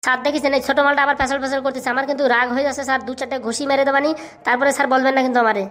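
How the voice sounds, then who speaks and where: Woman doing cartoon village schoolboy, cheeky boastful know-it-all, talks super fast, kinda high voice. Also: little pitch movement, a bit bright. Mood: irritated, scolding. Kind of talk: comic cartoon dialogue.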